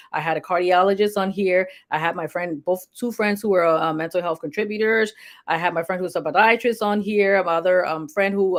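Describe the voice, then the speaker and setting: Fast-talking woman with a Liberian accent. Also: fairly low pitch. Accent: Liberian English. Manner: rambling, chatty, repeats herself, addresses viewers directly. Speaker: healthcare provider hosting a health page. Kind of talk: casual anniversary livestream talk.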